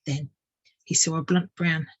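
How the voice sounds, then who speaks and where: A woman narrating a children's storybook like a tutor reading aloud, measured and expressive. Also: low-pitched, no echo, lo-fi sound.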